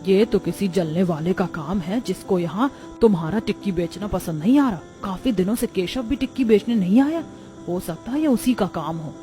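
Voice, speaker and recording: A woman's voice: medium pitch, lively intonation, moderate pace, warm tone, faint music behind, close to an everyday voice. A woman voicing a shrewd, supportive female neighbour in a cartoon, assertive and righteous. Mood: suspicious, determined.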